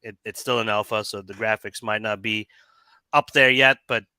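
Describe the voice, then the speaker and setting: A man hosting an interview who asks questions and encourages the guest, with a voice he says is going hoarse. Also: fairly low pitch, steady tone, normal speed, sharp tone, no room sound. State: losing his voice. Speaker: host. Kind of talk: casual interview.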